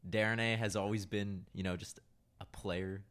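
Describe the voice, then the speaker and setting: A man appearing as a guest on a sports podcast, talking in a relaxed, slightly hesitant way. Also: on the low side, moderate pace. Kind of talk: casual sports chat.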